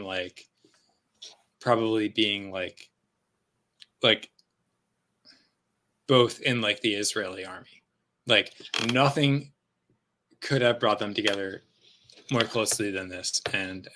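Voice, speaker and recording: A man's voice: fairly low pitch, pushed and bright, slight background noise, low-quality recording. A man in a loose, rambling live-stream chat, swearing freely and joking crudely. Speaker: guest on a beer livestream. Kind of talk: crude casual banter.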